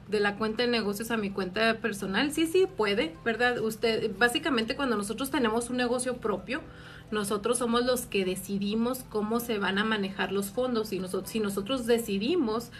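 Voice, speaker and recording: A woman's voice: fairly high pitch, normal speed. A woman, a tax advisor answering viewer questions on a live stream in a friendly, explanatory way with many filler words, filmed by a phone in a small office. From Mexico. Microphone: phone camera at arm's length.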